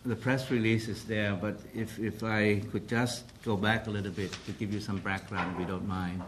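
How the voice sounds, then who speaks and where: A man giving a formal press briefing as president of a council, speaking carefully with many pauses and restarts. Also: on the low side, flat delivery, background noise.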